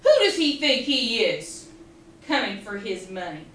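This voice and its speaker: A woman playing an impatient storybook king who barks blustering orders in a bossy tone. The voice is bright and jumps around in pitch. It is picked up from a little way off, in an echoey room. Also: big pitch swings, unhurried, recorded from a distance, slight background noise.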